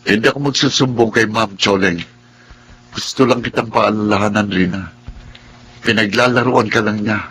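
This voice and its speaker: A man's voice: fairly low pitch, steady tone, normal speed, fairly bright tone, husky, rough recording. Earnest, jealous young Filipino man. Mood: earnest warning. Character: young male household worker, earnest, jealous, cautioning. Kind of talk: Filipino radio drama acting.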